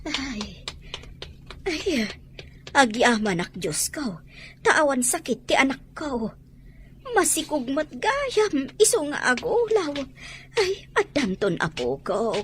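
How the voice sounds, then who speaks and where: An actress with an Ilocano accent playing a nosy, excitable village woman in a radio comedy drama, exclaiming theatrically. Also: high-pitched, slow pace, pauses often, slight background noise, full character voice. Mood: emotional.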